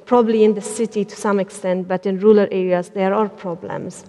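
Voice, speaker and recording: A woman from Afghanistan gives her views on a discussion panel, often pausing on fillers and restarting her sentences. Her voice sits at a middle pitch. It carries the long reverb of a large church hall. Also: fairly even intonation, dark tone. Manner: hesitant, many fillers and restarts. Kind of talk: panel talk.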